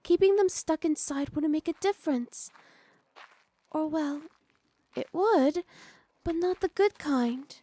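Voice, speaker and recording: A woman's voice: sits high, slow pace, long pauses, mellow, no room sound. A woman playing a doting, teasing young noblewoman wife in an intimate ASMR roleplay, sweet and coaxing. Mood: indignant pleading. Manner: sweet, coaxing, playfully scolding.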